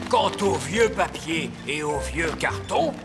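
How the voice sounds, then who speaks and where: A wise old elf from a children's cartoon, proud and didactic. He speaks slowly and deliberately with animated intonation. Music plays clearly behind the voice. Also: fairly high pitch. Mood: explaining. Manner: didactic, self-important.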